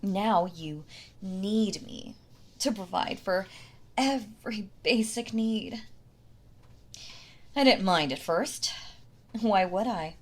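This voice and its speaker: A woman's voice: medium pitch, expressive. A woman playing a possessive yandere wife in an intimate audio roleplay, doting and condescending, with a sweetly menacing manner. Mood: bitter, possessive. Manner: slow, coddling, condescending, with sighs and soft laughs.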